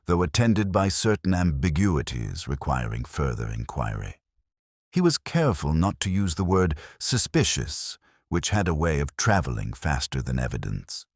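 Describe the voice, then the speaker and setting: A man reading a literary mystery story as narrator, in a measured, dryly wry manner. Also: extremely low pitch, slightly rough, no echo, studio quality.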